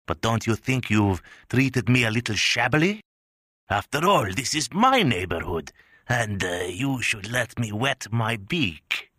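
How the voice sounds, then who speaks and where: Audiobook part: a man putting on an older Sicilian-accented mob boss, lively, slippery, bright-toned. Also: mid-range voice, unhurried, no room sound, clean studio sound, voice pushed up. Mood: menacing. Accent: thick Sicilian. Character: brutal older mafia extortionist man, smug. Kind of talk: audiobook character voice.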